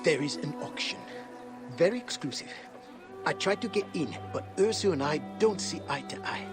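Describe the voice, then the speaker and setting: A nervous, evasive market informant played by a man in a video game. His voice is fairly high and swings animatedly as he chatters anxiously. Loud music sits behind him. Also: animated, moderate pace, lightly acted. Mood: frightened. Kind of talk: dramatic video game acting.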